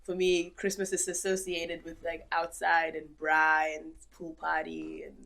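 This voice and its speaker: A young woman from Zimbabwe chatting on a podcast, her voice sitting a little low for a woman. She tells her stories in a playful, animated way and breaks into easy laughter. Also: a little slow, gravelly. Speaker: podcast guest. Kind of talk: casual chat.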